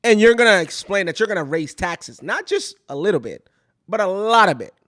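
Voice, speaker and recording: A woman's voice: mid-range voice, big pitch swings, brisk, fairly bright tone, no echo, studio quality. A woman from Kenya hosting a talk show, giving casual, emphatic opinion commentary and repeating phrases to drive points home. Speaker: show host.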